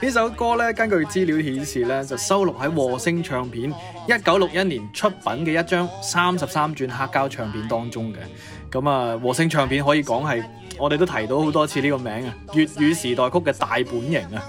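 Young cantonese guy, highish voice, chatty co-host explaining stuff, giggly, soft music behind. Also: brisk. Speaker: podcast co-host. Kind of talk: casual chat with explanations.

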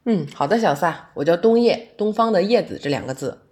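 A woman's voice: lowish voice, lively intonation, a little fast, a little mellow, lightly acted. A woman acting a friendly, reflective working woman, a young adult theatre fan, in conversational, naturalistic audio drama dialogue. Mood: friendly, curious.

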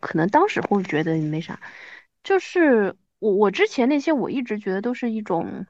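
Female podcast guest, thirties, mid-pitched, animated and self-mocking casual chat, slightly muffled sound. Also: moderate pace, no echo. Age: 30s.

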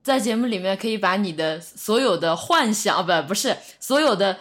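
A woman's voice: medium pitch, animated, normal speed, fairly bright tone. A woman co-hosting a podcast, chatting in a casual, teasing way. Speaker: podcast co-host.

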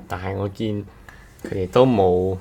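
A man's voice: low-pitched, fairly even intonation, unhurried, vocal fry, dark tone. A man chats casually on a podcast. He speaks hesitantly, repeating phrases as he goes, with faint ambient noise behind him. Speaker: podcast co-host. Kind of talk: casual chat.

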